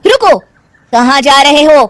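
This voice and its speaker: A woman's voice: high voice, lively intonation, a little slow, dry recording. A woman voicing a young wife who acts like an imperious, demanding princess in a cartoon story. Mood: suspicious, threatening. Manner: haughty, commanding, theatrical. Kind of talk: Hindi cartoon moral story acting.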